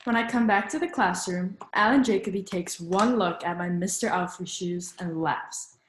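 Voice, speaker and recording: A woman's voice: on the low side, echoey room, slight background noise. A woman reads a children's picture book aloud like a teacher, in an instructive manner that invites young listeners to think along. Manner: instructive, pausing to prompt listeners with questions. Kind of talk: storybook read-aloud with questions to young listeners.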